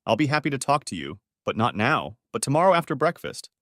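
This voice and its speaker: A man plays a middle-aged husband in a storybook-style narration. He is blunt, sarcastic and fed up, with animated swings in pitch. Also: fast, no echo, studio quality. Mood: sarcastic, weary.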